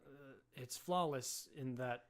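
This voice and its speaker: A man voicing a grave agency boss who speaks low and quietly. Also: takes it slowly, lightly acted. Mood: ominous. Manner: low, muttering and ominous.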